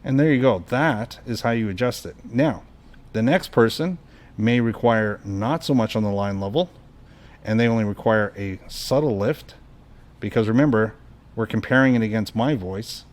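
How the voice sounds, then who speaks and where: A man hosting a hands-on equipment tutorial, calmly explaining each step as he adjusts the controls. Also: on the low side, normal speed. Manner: relaxed, explanatory, talks through each step while adjusting knobs. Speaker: product tutorial host. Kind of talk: casual hands-on equipment demo.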